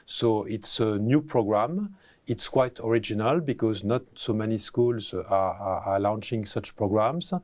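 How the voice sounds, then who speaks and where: A man, a professor answering interview questions, pausing often with fillers and restarting phrases. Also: on the low side, darkish tone. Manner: hesitant, many fillers and restarts.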